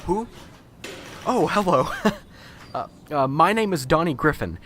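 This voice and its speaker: A nervous, unsure professor, acted by a man, trips over his words and hesitates constantly. The pitch leaps around animatedly. A faint hiss sits under this amateur radio drama. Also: lively intonation, unhurried, a bit bright. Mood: jittery. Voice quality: stammering, hesitant, fumbling for words. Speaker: professor bringing a lead.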